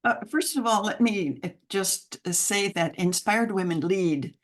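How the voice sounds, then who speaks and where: An older American woman, a mother and grandmother, speaking as a guest on a podcast interview in an enthusiastic, expressive way with frequent laughter. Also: mid-range voice, unhurried. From United States. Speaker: guest, mentoring program founder.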